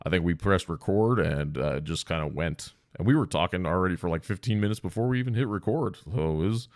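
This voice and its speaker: A man's voice: low voice, fairly quick, darkish tone, dry recording, clean studio sound. A man hosting a solo podcast. He talks in a rambling, casual, self-deprecating way with some swearing, and sounds congested and stuffy-nosed from a sinus cold. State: sore throat from long talking. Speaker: podcast host.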